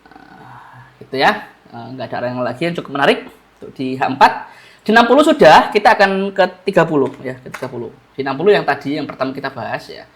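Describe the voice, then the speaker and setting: A man's voice: a little high, big pitch swings, fast talker. Stuffy-nosed man explaining charts. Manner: casual, repeats key phrases, addresses viewers as friends. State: stuffy-nosed, under the weather. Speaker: trading analyst. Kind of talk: chart analysis walkthrough.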